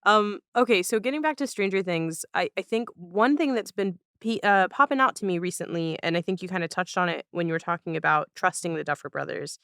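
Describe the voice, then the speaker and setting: Clear-voiced, reflective podcast host. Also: medium pitch, a little fast, few pauses, a bit bright, no echo, studio quality. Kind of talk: casual conversational interview.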